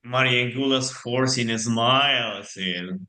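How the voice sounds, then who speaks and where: A man narrating a drama theatrically from a script, in halting non-native English. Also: medium pitch, pushed and bright.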